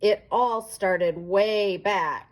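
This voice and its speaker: A woman reading a children's storybook aloud in an expressive, dramatic and deliberate way. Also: medium pitch.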